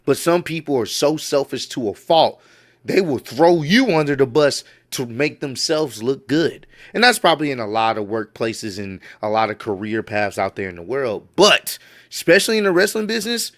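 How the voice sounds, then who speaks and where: A man's voice: mid-range voice, lively intonation, moderate pace. A man giving casual reaction commentary on wrestling news, laid-back and admiring, often repeating himself.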